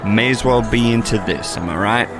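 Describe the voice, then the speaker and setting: A man's voice: mid-range voice, normal speed, lightly acted. A man playing a drunk, ranting priest. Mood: boozy. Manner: slurring, rambling, bursts into shouting. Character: drunk disgraced male priest, bitter and rambling. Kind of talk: audio drama acting. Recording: music and crowd noise behind.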